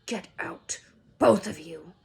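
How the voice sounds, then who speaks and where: A woman's voice: medium pitch, slow pace, gravelly, darkish tone, husky, heavily acted. A woman voicing a bullying middle-aged uncle in a read-aloud storybook, barking and sneering his lines. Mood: shocked, angry.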